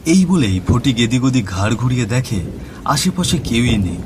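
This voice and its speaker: A man's voice: mid-range voice, sing-song, normal speed, noisy background. A man narrating a scripted horror story as the storyteller. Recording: music bed with rain and thunder.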